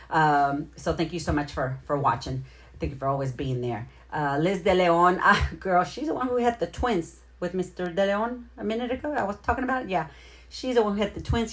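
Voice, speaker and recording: A middle-aged woman with a low voice hosts a casual livestream. She talks straight to her viewers in a chatty, slangy way, as in an informal round of shout-outs. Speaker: livestream host.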